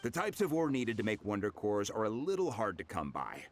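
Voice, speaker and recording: An actor playing a humble, friendly blacksmith in a video game, with a folksy, hesitant way of talking. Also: male voice, lowish voice, huge pitch swings, fairly quick. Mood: helpful, musing. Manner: hesitant, folksy, chuckling, colloquial. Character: humble, friendly male blacksmith, a bit slow to catch on.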